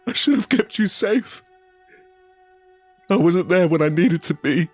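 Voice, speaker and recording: An actor playing a guilt-ridden survivor in an audio drama, speaking in halting, intense first person. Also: male voice, high voice, animated, faint music behind. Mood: anguished, longing. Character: grief-stricken guilt-ridden male survivor, narrating his ordeal.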